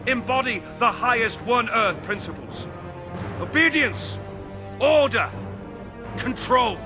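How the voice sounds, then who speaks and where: An actor playing a pompous, imperious villain commander in a video game, delivering grandiose, measured oratory. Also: male voice, sits high. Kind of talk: video game cutscene acting.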